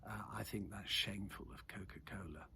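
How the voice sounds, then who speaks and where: A British man giving a casual, off-the-cuff commentary on the news in a reflective, halting way. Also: lowish voice, sharp tone. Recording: clearly noisy ambient background.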